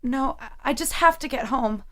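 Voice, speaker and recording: A woman's voice: high voice, moderate pace, lightly acted. An actress playing a troubled, weary but defiant young woman in an audio drama. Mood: weary, shaken.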